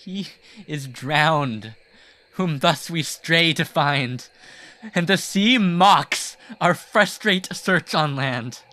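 A man's voice: on the high side, lively intonation, drawn-out words, sharp tone, full character voice. A man playing a weary, grief-stricken king in a Shakespearean radio play, speaking in a theatrical, declamatory way. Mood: weary, resigned.

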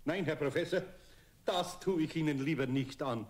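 An actor playing a stern but kindly master carpenter in a children's radio play. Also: male voice, a little high, moderate pace, a crowd in the background, lightly acted. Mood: flustered, apologetic. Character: middle-aged or older male carpenter, stern but kindly guardian. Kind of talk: 1970s German children's radio play acting.

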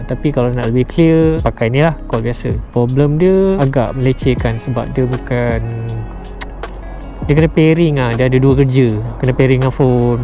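A young man with a Malaysian accent giving a casual product review as a motorcycle vlogger, slangy and mixing in English words. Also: mid-range voice. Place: outdoors on a motorbike at a roadside. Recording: dull muffled audio, loud background music. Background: music added behind the voice.

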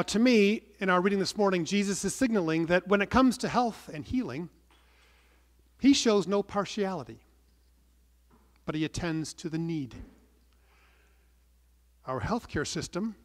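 A middle-aged man preaching a sermon from the pulpit, earnest and measured, stressing some words and letting phrase endings fall soft. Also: sits high. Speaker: pastor. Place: large wood-panelled church, indoors. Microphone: pulpit microphone. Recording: long church reverb.

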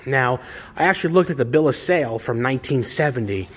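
A man's voice: medium pitch, animated, fast, breathy edge. A middle-aged man, a classic car parts dealer who chats and rambles with enthusiasm while he films a walkaround in a large repair garage. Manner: chatty, enthusiastic, rambling with restarts. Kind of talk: casual walkaround video narration.

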